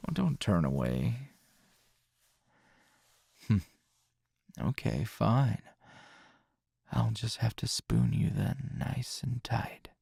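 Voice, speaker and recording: A man acts out a protective, gently teasing boyfriend in an intimate ASMR roleplay. His voice is low and thick with gravelly fry, breathy around the edges. He speaks softly and slowly, leaving pauses, as if lulling a lover to rest. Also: big pitch swings, no echo, studio quality, exaggerated voice. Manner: slow, soft-spoken, with pauses and soft chuckles. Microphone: close, dry microphone.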